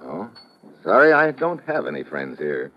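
An actor playing a wry, tough American government agent with an American accent, deadpan and wisecracking in hardboiled old radio drama style. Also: male voice, low voice, moderate pace, exaggerated voice. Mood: guarded. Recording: dull old radio recording, music behind.

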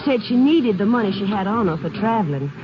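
An actor playing a chatty small-town laundry worker with a rural Texas accent and rambling, rustic speech in a 1950s radio drama. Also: male voice, high-pitched, expressive, fairly quick, over music. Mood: eager, helpful. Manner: rambling, folksy, rustic grammar. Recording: dull old radio recording, busy crowd-like din.

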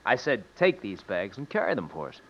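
Blunt henchman crook, low voice, offhand, muffled old radio western. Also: big pitch swings, fairly quick, a bit bright, heavily acted. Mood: demanding. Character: brusque safecracker henchman to a swindler. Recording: dull vintage radio recording.

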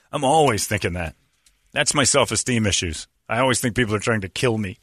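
A male radio host with a bright, forceful voice, chatting in a lively storytelling way. He speaks close to a studio microphone, and the sound is dry and close. His tone is loose morning-show banter. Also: fairly low pitch, moderate pace, slightly rough, no echo. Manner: animated storyteller who slips into voices.

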